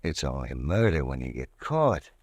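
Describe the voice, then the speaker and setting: A man's voice: rumbling low, sing-song, normal speed, breathy edge, no echo, exaggerated voice. An actor playing a menacing gangster who bribes a clerk, speaking in slangy, cold tough-guy talk in 1940s radio acting.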